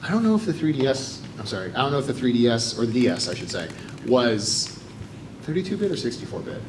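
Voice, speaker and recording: A man's voice: medium pitch, big pitch swings, fast talker, noisy background. An American man speaking on a convention panel, talkative and explanatory, with frequent fillers. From United States.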